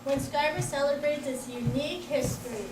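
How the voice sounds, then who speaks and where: A woman's voice: high-pitched, normal speed, close to an everyday voice. A woman playing a showboating, mock-modest boxing champion puppet, bantering in a scripted show for children. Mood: chiming in. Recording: echoey, distant, noisy room.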